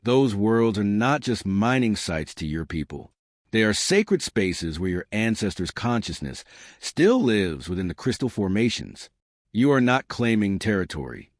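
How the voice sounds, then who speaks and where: A man voicing a quiet, compassionate male diplomat in a sci-fi story, speaking gently and with certainty. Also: fairly low pitch, very animated, a little slow, dry recording, studio quality. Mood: gentle, solemn. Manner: soft-spoken, gentle, certain.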